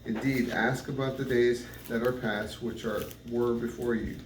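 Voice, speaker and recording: A man reads scripture aloud with measured phrasing and the odd stumble. His voice sits at a middle pitch. The recording is roomy, picked up at a distance from the mic, with clear background noise. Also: fairly even intonation. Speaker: scripture reader. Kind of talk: Bible reading aloud.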